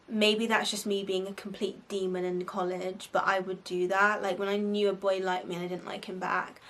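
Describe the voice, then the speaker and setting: Young woman, Bristolian accent, podcast host, casual chatty advice, frank, mid pitch. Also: a bit bright. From Bristol, England. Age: young adult. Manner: chatty, frank, lots of "like" fillers, frequent laughs.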